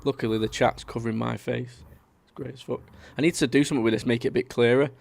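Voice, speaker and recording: A man hosts a live stream. He rambles chattily, reading out viewer comments between stories. His mid-pitched voice carries a gravelly edge. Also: steady tone, fast talker, darkish tone. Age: 30s. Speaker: livestream host. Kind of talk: casual live-stream chat.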